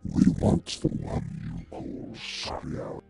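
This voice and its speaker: An actor voicing a menacing demonic servant of a dark master in a video game, hoarse, rasping and slow. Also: male voice, dry recording, soft background music, close to an everyday voice. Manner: slow, threatening. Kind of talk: fantasy video game villain acting.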